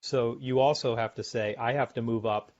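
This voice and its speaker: Measured American man explaining sales. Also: mid-range voice, steady tone, darkish tone. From United States. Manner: explanatory, measured, repeats words while thinking. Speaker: author and sales expert guest. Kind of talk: podcast interview. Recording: dull lo-fi remote audio.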